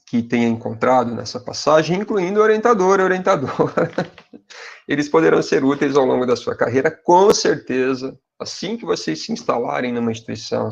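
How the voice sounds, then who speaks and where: Gravelly Brazilian professor in his forties. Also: mid-range voice, highs cut off. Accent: Brazilian Portuguese. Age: 40s. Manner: conversational, tags sentences with "né?" and "tá?"; speaks directly to viewers as "pessoal". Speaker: university professor. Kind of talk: advice talk to graduate students.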